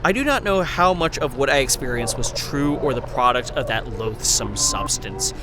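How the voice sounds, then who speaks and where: A man's voice: medium pitch, lively intonation, normal speed, background noise, lightly acted. An actor playing a haunted, earnest survivor who recounts his ordeal in grave, formal, theatrical narration for a horror audio drama. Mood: grave, unsettled. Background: music.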